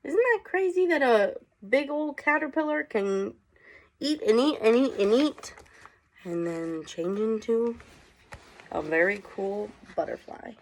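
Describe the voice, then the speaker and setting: A woman's voice: fairly high pitch, lively intonation, measured pace, low-quality recording. A woman teacher reading aloud to children, with chatty asides and questions for her young listeners.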